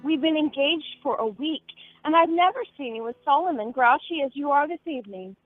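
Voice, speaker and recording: A woman plays a young fiancée in a radio drama, earnest and plaintive. Her voice is high and moves in big swoops. The recording is lo-fi, with music behind it. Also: lively intonation, brisk, no echo. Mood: concerned, pressing. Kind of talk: radio play acting. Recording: muffled lo-fi audio with music behind.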